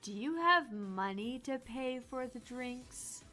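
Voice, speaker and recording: A woman voicing a dry, weary, deadpan bartender in a cyberpunk visual novel. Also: on the high side, slow pace, faint music behind, full character voice. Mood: stern, wry.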